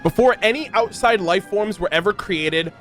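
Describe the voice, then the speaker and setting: A man doing excited reaction commentary as a game streamer, reading aloud and commenting in an animated, exclamatory way. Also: high voice, moderate pace, soft background music. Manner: animated, exclamatory, swears freely. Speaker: streamer reacting to a video game's lore.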